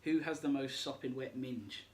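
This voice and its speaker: A man's voice: mid-range voice, moderate pace, a crowd in the background. A young man, co-hosting a casual podcast, chatting and teasing as he reads out listener questions. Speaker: podcast co-host. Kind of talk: casual chat.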